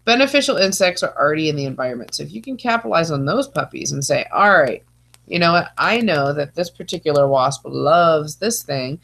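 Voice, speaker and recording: A woman teaches over a video call with a stuffy, congested nose. Her voice sits a little low, and her talk is chatty and loose, full of playful asides. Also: lively intonation, fairly quick, vocal fry, fairly bright tone. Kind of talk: online presentation lecture.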